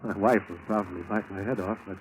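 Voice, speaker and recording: An actor playing a mild, fussy male landlord and secret spy in a 1940s radio drama, speaking a little haltingly. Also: on the low side, fairly even intonation, close to an everyday voice, poor audio. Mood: softening, friendly. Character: male suspected spy, dog-fussing landlord posing as patriot. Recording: old radio recording, faint noise.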